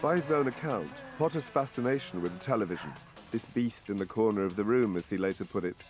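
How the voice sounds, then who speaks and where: A man's voice: lowish voice, brisk, faint music behind. A man reading scripted documentary narration.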